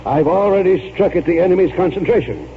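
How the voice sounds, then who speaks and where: Pompous high-voiced old army colonel. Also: male voice, lively intonation, a little fast. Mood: grandiose, combative. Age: older. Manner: pompous, oratorical, military. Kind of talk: 1940s radio acting. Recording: tinny, noisy 1940s radio recording.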